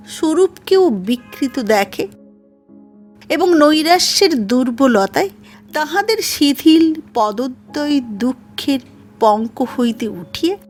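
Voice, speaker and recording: A woman narrating a formal literary essay in a measured, scripted audiobook style. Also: sits high.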